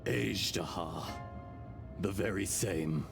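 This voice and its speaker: An actor playing the weary, gentle fragment of an ancient dragon in a video game, speaking in a measured, solemn way. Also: male voice, sits low, gravelly, over music, full character voice. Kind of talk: dramatic video game voice acting.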